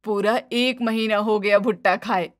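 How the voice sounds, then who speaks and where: A woman's voice: medium pitch, steady tone, unhurried, no room sound, clean studio sound, natural voice. A woman voicing a kindly, forgiving elderly mother-in-law, soothing and indulgent, in a cartoon moral story. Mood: gentle, forgiving.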